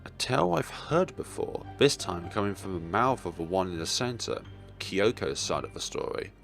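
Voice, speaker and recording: A young man with a fairly low voice reads a visual novel aloud. His pitch swings widely in theatrical, expressive narration. Game music plays underneath. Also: normal speed, vocal fry. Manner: theatrical, expressive, with playful asides. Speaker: let's player.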